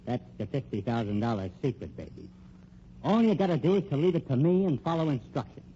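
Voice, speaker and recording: A man's voice: mid-range voice, big pitch swings, a little fast, warm tone, lightly acted. Actor as a slick, swooping tough-guy private eye. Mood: pushy, scheming. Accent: American. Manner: wisecracking, pushy, tough-guy slang. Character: slick, menacing male private detective and con man. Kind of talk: old-time radio crime drama acting. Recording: dull old radio recording, faint noise.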